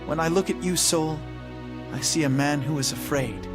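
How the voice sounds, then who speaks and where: Young idealist king, a man acting: lightly high male voice, formal, composed, game dialogue with music underneath. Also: natural voice. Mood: solemn, reflective. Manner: formal, measured, earnest. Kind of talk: English video game voice acting.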